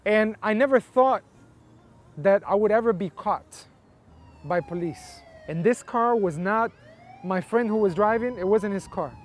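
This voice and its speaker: High-voiced man telling his life story. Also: unhurried. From Colombia, raised in Houston, Texas from age eight. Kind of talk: personal testimony.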